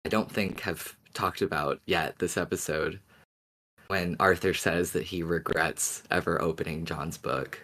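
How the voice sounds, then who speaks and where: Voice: low-pitched, fairly even intonation, measured pace, a bit bright. A podcast co-host talking casually as a fan about an audio drama, thoughtfully rephrasing points along the way.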